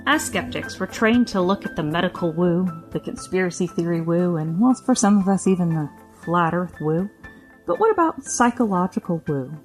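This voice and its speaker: A woman presenting a scripted explanatory podcast segment in a measured, lecturing manner. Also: lowish voice, expressive, soft background music.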